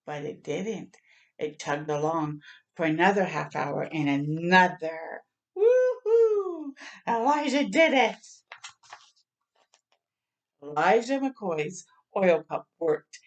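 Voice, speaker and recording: A woman reading a picture book aloud to children as a storyteller, expressively, with asides to her listeners. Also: lowish voice. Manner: expressive, acting out sound words and exclamations.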